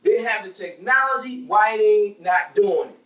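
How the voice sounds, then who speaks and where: Higher-pitched American man, echoey and distant. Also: normal speed, lo-fi sound. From United States. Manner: emphatic, preaching, repeats phrases for emphasis. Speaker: panel speaker on a religious talk show. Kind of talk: opinionated group discussion. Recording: roomy echo, distant microphone.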